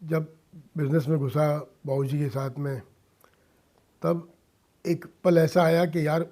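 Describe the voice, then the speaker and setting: Middle-aged male interview guest, mellow tone, fairly high pitch, earnest, conversational, English phrases mixed in. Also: fairly even intonation, slow pace. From India. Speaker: interview guest, businessman and social worker. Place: radio studio.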